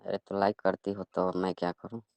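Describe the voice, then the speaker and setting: A man's voice: low voice, flat delivery, a little fast, creaky, darkish tone, no echo. A young man speaking in a reserved, terse way in a casual phone conversation.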